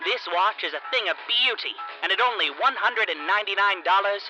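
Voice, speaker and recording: An actor playing a smooth-talking, eager watch salesman in a showy 1950s infomercial, heard on a distorted old tape. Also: high voice, fairly quick, close to an everyday voice. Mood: showy, excited. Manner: showy, patter-heavy salesmanship. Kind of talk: 1950s infomercial pitch acting. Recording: distorted old tape, loud music bed.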